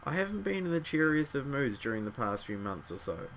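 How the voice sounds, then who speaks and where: Hoarse man reading a visual novel. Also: medium pitch, very animated, a little fast, a bit bright, slight background noise. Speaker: let's play narrator.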